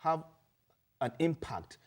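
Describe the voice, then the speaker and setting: A man's voice: fairly high pitch, big pitch swings, slow. A man from Nigeria with a Nigerian accent, a guest in a casual interview, talking earnestly and often repeating words as he searches for them.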